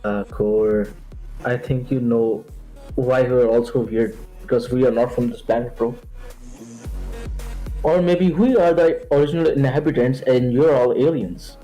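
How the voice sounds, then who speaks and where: A man's voice: medium pitch, measured pace, warm tone, dull audio, soft background music. A man who is not a native English speaker, co-hosting a live stream, chatting casually and joking with viewers and his co-host. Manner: casual, joking, says bro a lot. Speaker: livestream co-host.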